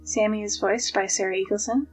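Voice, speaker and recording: A woman reads the end credits of a podcast in a steady, matter-of-fact tone. Her voice sits at a mid pitch. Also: fairly quick, soft background music, low-quality recording. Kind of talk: scripted credits read.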